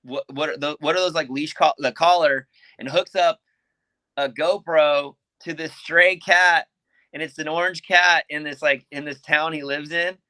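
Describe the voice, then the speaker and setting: A man telling stories as a podcast guest, animated and casual, peppering his talk with "dude" and "like" and swearing freely. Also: a little high, normal speed, dry recording. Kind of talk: casual storytelling.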